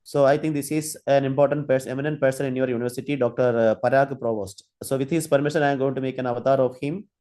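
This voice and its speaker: A man giving an online training lecture, halting, with many fillers and restarts while he demonstrates. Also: medium pitch, fairly even intonation, mellow. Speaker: guest lecturer.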